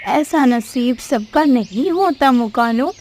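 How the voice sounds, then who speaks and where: A woman's voice: sits high, animated, slow, darkish tone, slight background noise. A woman voicing chatty, earnest female animals in a children's cartoon fable.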